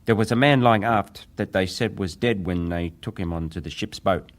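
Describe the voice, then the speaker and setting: A deep-voiced young ship's wireless operator , played by a male actor, testifies at a dramatized hearing. He answers with measured deference, sometimes hesitating, as a survivor choosing his words. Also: natural voice. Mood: grave, restrained.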